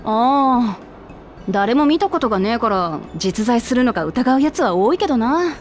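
A woman voicing a brash, hot-headed but friendly young lion-woman princess in a game, with rough boyish speech. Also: a little high, lively intonation, normal speed, faint music behind, natural voice. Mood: cheerful, casual. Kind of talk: video game cutscene acting.

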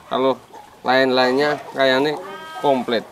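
A man's voice: mid-range voice, slow pace, a little hiss or hum, low-quality recording. A young man speaks with a Javanese accent in an open village yard, his voice picked up close by a clip-on mic. He keeps it loose and friendly, tossing in jokes as he points things out. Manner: relaxed, chatty, mixing in local words, jokey asides. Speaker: goat farm vlogger. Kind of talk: casual on-camera farm tour. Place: outdoor village yard with goats. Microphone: small lapel mic.

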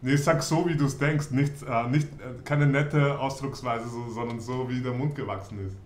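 A man's voice: medium pitch, fairly even intonation, normal speed, roomy sound, a little hiss or hum. A man hosting a casual interview over a video call, laid-back and slangy. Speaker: host. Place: home room, indoors.

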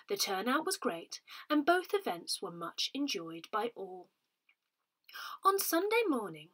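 Woman narrator, slightly breathy husky tone, lively intonation, measured newsletter reading. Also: a little high. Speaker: volunteer reader of a parish newsletter. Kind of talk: read-aloud newsletter narration.

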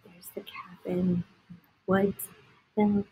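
A woman in her thirties reading a picture book aloud to young children, expressively, pausing to point out pictures with simple comments. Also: medium pitch, little pitch movement, gravelly, mellow, slightly rough, low-quality recording. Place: indoor school classroom. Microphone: laptop on a video call.

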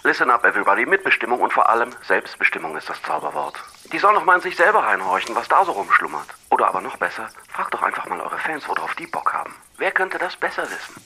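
An actor playing a cocky, slangy punk oddball in an audio drama, with a raspy voice and swaggering manner. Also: male voice, mid-range voice, big pitch swings, quick pace, hardly any pauses, sharp tone, full character voice. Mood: cocky, casual. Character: cocky, laid-back slangy male advice-giver, eccentric punk. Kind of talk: German children's audio drama acting.

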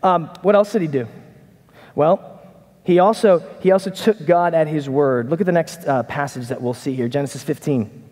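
A middle-aged man preaching a conversational sermon from a church stage, animated and casual. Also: fairly high pitch, normal speed. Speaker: pastor. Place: church stage in a large hall. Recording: reverberant hall.

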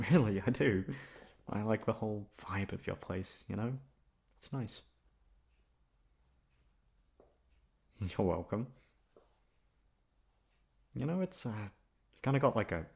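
Man acting as shy easygoing college guy, low voice, stumbles over his words, casual asmr roleplay. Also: lively intonation, vocal fry, darkish tone, breathy edge, heavily acted. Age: young adult. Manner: hesitant, halting, awkward chuckles. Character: shy, easygoing young male college classmate. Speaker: voice actor. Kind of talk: ASMR roleplay audio drama, casual conversational.

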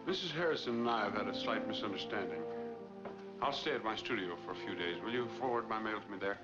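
Casting: man to play middle-aged errant husband, smooth and earnest, lowish voice, 1940s melodrama, music underneath. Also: lively intonation, quick pace, close to an everyday voice. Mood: composed. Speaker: film actor. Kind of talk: 1940s Hollywood film noir acting. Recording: dull 1940s film soundtrack, music behind.

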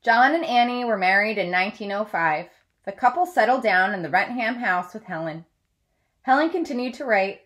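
A woman in her thirties reads a book aloud as a teacher would. Her voice sits at an average pitch for a woman. She phrases each sentence deliberately, in a measured storytelling delivery. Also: lively intonation, a bit bright, dull audio. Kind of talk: read-aloud of a children's biography. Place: small room at home, indoors.

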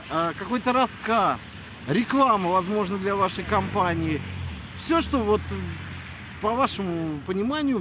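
A man in his thirties, an audiobook narrator, talks casually and earnestly to his followers in an emphatic manner. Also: high voice. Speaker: audiobook narrator addressing listeners. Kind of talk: casual video greeting to followers. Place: outdoors in a snowy city square at night. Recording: noisy outdoor city square, traffic ambience.

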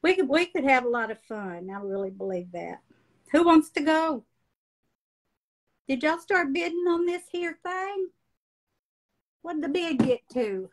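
Slow-talking woman in her sixties. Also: sits high, expressive. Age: 60s. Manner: folksy, chatty, laughs often. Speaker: livestream host. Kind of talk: casual live chat. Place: indoor craft room.

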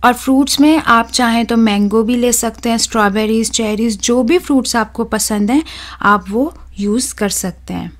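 A young woman, hosting a cooking channel and talking viewers through a recipe in a steady, instructive voice-over. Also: fairly high pitch, normal speed. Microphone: voice-over, close mic.

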